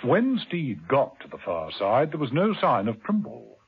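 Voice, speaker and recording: A man narrating a 1970s radio drama in a dramatic, measured storytelling manner. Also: mid-range voice, huge pitch swings, slight background noise. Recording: tinny old radio broadcast with music.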